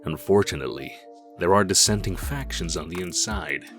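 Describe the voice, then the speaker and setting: A man narrating a comic book script in a dramatic, deliberate storytelling manner. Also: deep voice, a bit bright, faint music behind.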